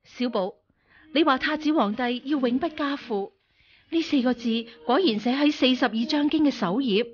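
威嚴精明嘅武林師太，女演員演繹，聲線偏高. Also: female voice, high-pitched, highs cut off, close to an everyday voice. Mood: curious. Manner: measured, authoritative. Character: stern, shrewd martial-arts nun princess, calm and commanding. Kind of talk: Cantonese radio drama acting.

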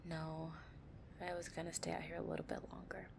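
A woman playing a possessive young gang-leader girlfriend, tough but tender, in an intimate, soft-spoken audio roleplay. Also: low-pitched, flat delivery, fairly quick, gravelly, background noise. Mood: affectionate, drowsy.

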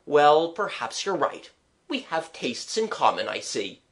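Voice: mid-range voice, big pitch swings, a little slow, pitched above the performer's own voice. A reader voicing a genial, well-travelled man who paints, with a dry, kindly, musing manner in a storybook. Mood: agreeable.

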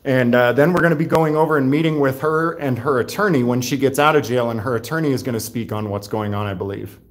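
Loud, rambling man in a lobby. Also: medium pitch. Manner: rambling, halting, many fillers and restarts; loud voice, by his own account. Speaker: livestreaming channel host. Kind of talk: casual livestream chatter. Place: sheriff's office lobby, indoors.

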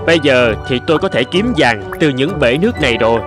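Guy doing a young can-do gold prospector in a cartoon, earnest, expressive, music in background. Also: medium pitch, brisk, close to an everyday voice. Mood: confident. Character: resourceful, determined young male gold prospector. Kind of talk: cartoon dub acting.

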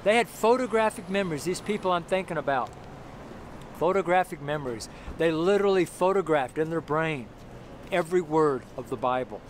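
Middle-aged male street preacher, slightly high-pitched, loose and repetitive delivery, close camera outdoors with clear street noise. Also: fairly quick. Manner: rambling, repeats himself, many restarts and fillers. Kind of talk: informal outdoor sermon to camera. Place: outdoors on a city sidewalk by a brick wall. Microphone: camera close up, handheld. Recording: noisy outdoor street recording. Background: street traffic noise.

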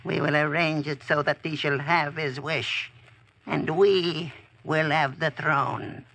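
A creaky, sharp-edged wizard plots in a sly, theatrical hush of archaic English. His voice sits a little high, and it comes from a vintage radio drama. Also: fairly even intonation, takes it slowly, exaggerated voice. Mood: scheming. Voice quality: raspy, creaky. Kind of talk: 1940s radio acting, archaic speech.

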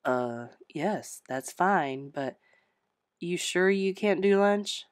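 A woman voicing an easygoing, slightly confused male house painter in a storybook, low-key and hesitant. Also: expressive, slow pace, no room sound, studio quality.

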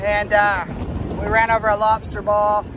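High-voiced man vlogging from a windy boat. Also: completely flat delivery, normal speed. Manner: easygoing, joking, explains plans to camera. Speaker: vlog host. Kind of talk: casual sailing vlog chat. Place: outdoors on a sailboat deck at sea. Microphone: action camera at arm's length. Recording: wind noise on open water. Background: wind and water.

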